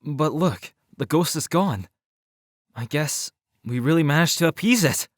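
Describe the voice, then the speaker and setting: A man's voice: on the high side, animated, a little slow, no echo, studio quality. A man playing an exasperated but caring teenage schoolboy in a horror game dub, reacting expressively. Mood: reassuring. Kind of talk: video game dub acting.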